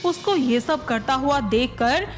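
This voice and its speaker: High-pitched female storyteller, unhurried pace. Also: lively intonation, soft background music. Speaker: narrator. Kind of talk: scripted storytelling narration of a moral tale.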